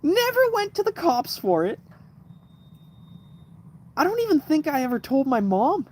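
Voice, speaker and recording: A man's voice: very high-pitched, wildly swooping pitch, unhurried, slight background noise. A man in his twenties telling rambling stories on a casual livestream, swearing, with lots of fillers and restarts. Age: 20s. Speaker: livestream host. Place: room lit by kerosene lamp at night.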